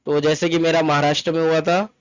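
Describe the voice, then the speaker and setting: A man's voice: fairly high pitch, little pitch movement, fast, no room sound. A man giving an informal step-by-step screen tutorial, repeating each instruction and mixing in English terms. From Mumbai, India. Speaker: tutorial presenter, travel agent. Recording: dull lo-fi close dry recording. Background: voice-over to screen recording.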